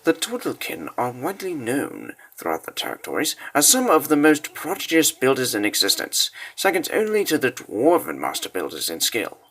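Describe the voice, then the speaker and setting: A man narrating a comic dub as a scripted dramatic story, grave and deliberate with theatrical pauses. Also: mid-range voice, wildly swooping pitch, fairly bright tone, slightly rough.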